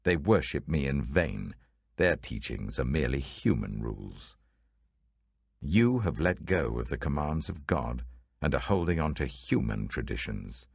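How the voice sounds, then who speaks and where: A man's voice: rumbling low, very animated, measured pace, vocal fry, husky, no echo, clean studio sound, full character voice. A British man voicing a stern, authoritative religious teacher in a dramatic scripture reading, emphatic and weighty. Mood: rebuking.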